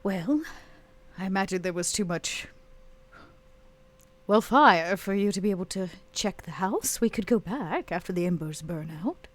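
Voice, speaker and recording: A woman's voice: medium pitch, animated, measured pace. A woman playing a poised, inquisitive female vampire in a tabletop roleplay, with a composed, dry delivery. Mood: dry, curious.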